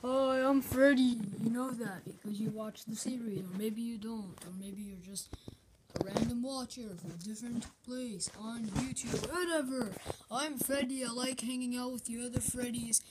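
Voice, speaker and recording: A woman's voice: on the high side, normal speed, very noisy, natural voice. A woman voicing a chatty, boastful animatronic bear plush, with a swooping, self-satisfied way of talking. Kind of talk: improvised plush-toy interview.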